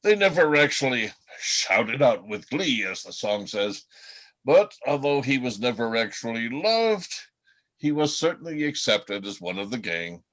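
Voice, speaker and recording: A man's voice: medium pitch, sing-song, unhurried, fairly bright tone. A man reading the part of a wry, world-weary old reindeer telling stories in a radio play. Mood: wry, reminiscing.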